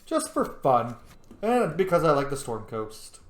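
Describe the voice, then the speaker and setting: A man's voice: fairly high pitch, sing-song, measured pace. Man chatting over a game, roomy echo. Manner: rambling, informal, swears. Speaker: gaming video host. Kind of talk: casual let's play commentary.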